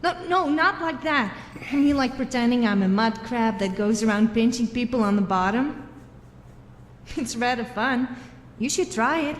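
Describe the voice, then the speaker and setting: A woman's voice: on the high side, animated, measured pace, slight background noise. A woman voicing a cheeky, flirtatious female companion in a fantasy video game, with a playful, teasing delivery. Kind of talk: video game follower voice acting. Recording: echoey hall reverb.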